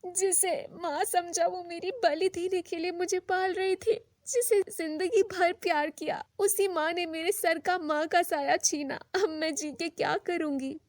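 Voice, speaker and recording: Young girl raised by a witch, played by a woman: high, plaintive, earnest, wounded, horror storybook. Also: steady tone, measured pace, hardly any pauses, dry recording, clean studio sound. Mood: heartbroken.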